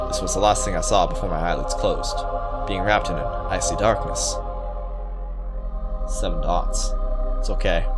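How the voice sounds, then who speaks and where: A young man hosting a gaming video, reading a visual novel aloud and adding casual commentary, with music over his voice. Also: sits low. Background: loud game music.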